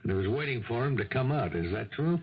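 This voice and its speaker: An actor playing a dogged police lieutenant in a 1970s radio drama, questioning a suspect in a deliberate, accusatory manner. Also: male voice, medium pitch, lively intonation, slight background noise, close to an everyday voice. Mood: accusing. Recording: muffled 1970s radio broadcast.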